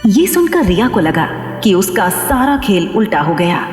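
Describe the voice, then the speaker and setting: Animated woman narrator with echoing reverb. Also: mid-range voice, a little slow, soft background music. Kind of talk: scripted dramatic story narration. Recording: echoey long reverb.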